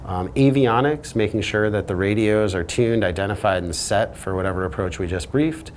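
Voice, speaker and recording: A man with a notably low voice teaches as a flight instructor. He explains procedures in a spoken lesson style. There is slight background noise on the recording. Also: moderate pace.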